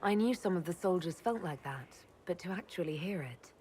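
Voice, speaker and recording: An actress plays an earnest female commander in a fantasy game. Her voice sits a little low and she speaks in a direct, composed way. There is background ambience behind her. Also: expressive, a little fast, mellow, slight background noise, close to an everyday voice. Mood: questioning, direct. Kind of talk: video game dramatic dialogue.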